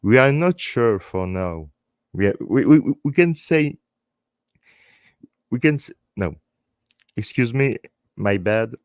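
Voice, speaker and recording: A man with a French accent and French as his first language, hosting a live stream in halting English, speaking earnestly and unscripted in short phrases with repeated words. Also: medium pitch, expressive, no echo, clean studio sound. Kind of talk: earnest unscripted talk to online viewers.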